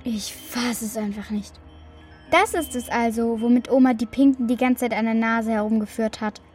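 A woman's voice: a little high, normal speed, darkish tone, soft background music, natural voice. A woman playing a sceptical, astonished young girl in a children's radio play, questioning and incredulous. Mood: amazed.

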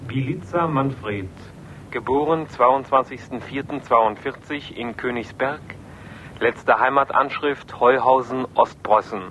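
A male 1940s radio announcer reads lists slowly and formally at a middle pitch. The old recording has faint rumbling noise. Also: fairly bright tone, highs cut off. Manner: measured, formal. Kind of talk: 1940s formal list reading.

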